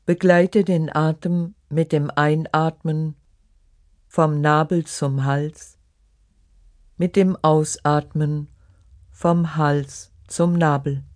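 A meditation guide reading a scripted relaxation in short, measured, instructive phrases. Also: medium pitch, flat delivery, dry recording, clean studio sound. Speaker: yoga nidra meditation guide.

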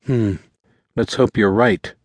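A man's voice: lowish voice, steady tone, takes it slowly, no echo, pitched above the performer's own voice. A man voicing a blunt, suspicious, hard-edged traveller in a thriller story, with a snarling, distrustful manner. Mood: smirking.

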